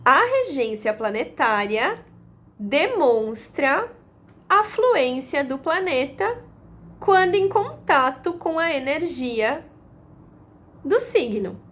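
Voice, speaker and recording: A woman giving a calm, explanatory lesson, repeating her points and using analogies. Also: sits high, slow pace. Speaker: astrology teacher.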